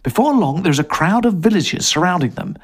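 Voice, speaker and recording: A man's voice: sits high, animated, brisk, breathy edge. A man reading a short story aloud as scripted narration.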